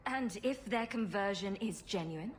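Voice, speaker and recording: A woman's voice: mid-range voice, lively intonation, measured pace, slight background noise, close to an everyday voice. An actress playing a sarcastic, dry-witted female hero. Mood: questioning.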